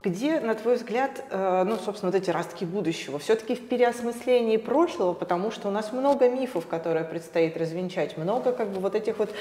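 A woman interviewer asking a measured, probing question. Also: mid-range voice, over music. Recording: echoey room with long reverb.

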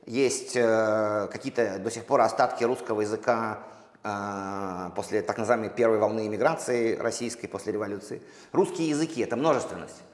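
A man from Russia, a poet, answering interview questions slowly and thoughtfully, with many pauses and restarts. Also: on the low side. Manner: halting, many fillers and restarts. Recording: echoey room with long reverb.

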